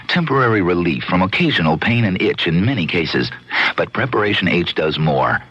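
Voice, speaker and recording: A man's voice: low voice, a bit bright, slightly rough, no room sound. A man reading a scripted 1970s radio advertisement as the announcer. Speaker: commercial announcer. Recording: muffled vintage radio recording.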